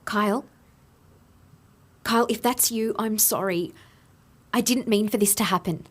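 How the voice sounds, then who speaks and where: A woman playing a startled, guilt-ridden girlfriend in an audio drama, with a breathy, husky voice. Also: mid-range voice, unhurried. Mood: shaken, guilty.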